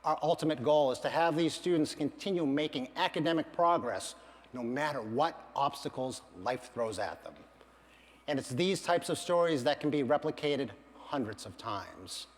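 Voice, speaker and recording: A middle-aged man, a university financial aid administrator, giving an earnest, measured prepared speech at a campaign event. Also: fairly high pitch, animated, in a large hall. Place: large event hall.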